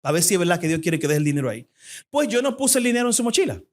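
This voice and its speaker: Young Dominican man, high-pitched, fervent fast-talking guest preacher making an appeal in church. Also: very animated. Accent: Dominican Spanish. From Dominican Republic.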